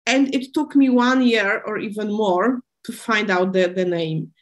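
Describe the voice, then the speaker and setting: A woman historian speaking English as a with many pauses, fillers and restarts, describing her archival work on a panel. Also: mid-range voice. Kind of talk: panel discussion answer.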